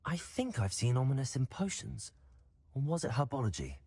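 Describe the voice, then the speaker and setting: Polite curious student hero in a game, husky, really varied intonation. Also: male voice, mid-range voice, very animated, a little mellow, full character voice. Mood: curious, earnest. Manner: courteous, earnest, measured. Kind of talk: video game voice acting.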